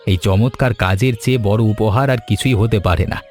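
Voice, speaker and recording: A man's voice: on the low side, fairly quick, darkish tone, lightly acted. A man playing a grateful, humble village butcher in a cartoon story, earnest and respectful in delivery. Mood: earnest, encouraging. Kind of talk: Bengali cartoon story voice acting. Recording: music behind the voice. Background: music.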